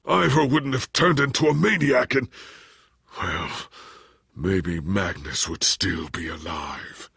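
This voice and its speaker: A humbled, bewildered old-school warrior from a video game. His voice is very raspy and gravelly, delivered slowly in a dry recording. He speaks formally and earnestly, with dramatic weight. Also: low voice, fairly bright tone, no room sound, exaggerated voice. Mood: somber. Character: weary, confused, humbled male warrior hero.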